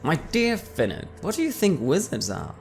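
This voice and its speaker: A man giving a dramatic first-person reading as his character, measured and wry, letting phrase endings trail off softly. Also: on the high side, wildly swooping pitch, gravelly, soft background music. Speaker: player reading character backstory.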